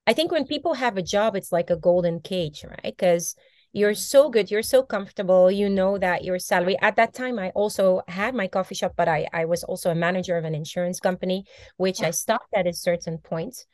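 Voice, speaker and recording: A woman in her forties, a native Dutch speaker, telling her story with animated gestures over a video call from a bright room at home. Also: fairly low pitch, a little fast, dry recording, clean studio sound. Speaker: guest, mindset coach. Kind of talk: casual video-call interview. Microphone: video call laptop mic.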